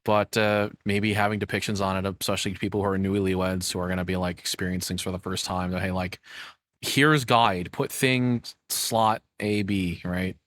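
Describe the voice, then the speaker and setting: A man with a lowish voice reacts in casual, unscripted commentary. He talks informally, like a streamer, and the sound is very dry with no room echo. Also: level tone, normal speed, fairly bright tone. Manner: informal, freely swearing.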